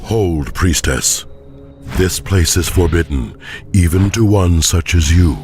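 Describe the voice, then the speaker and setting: An actor voicing a stern, grim prison guardian in a video game, imposing and ominous. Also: male voice, low-pitched, slow pace, music in the background. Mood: forbidding. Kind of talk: scripted video game cutscene acting.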